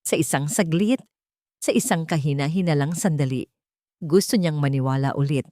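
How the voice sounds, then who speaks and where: A woman's voice: deep voice, moderate pace, mellow, no echo, studio quality. A woman with a Filipino accent reading a scripted dramatic story as its narrator.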